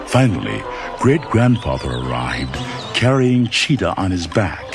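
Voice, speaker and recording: A man with a low voice narrates a children's storybook. His delivery is dramatic and moves widely up and down. Music plays clearly behind him. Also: big pitch swings, unhurried. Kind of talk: scripted children's read-along narration.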